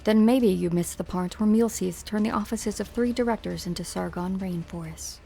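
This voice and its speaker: Actress as no-nonsense female security chief, game drama, medium pitch, clipped blunt lines, light music bed. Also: normal speed, creaky, warm tone, close to an everyday voice. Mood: stern, defiant. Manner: terse, blunt. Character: stern, duty-bound female security director. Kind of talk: fan-dubbed game drama dialogue.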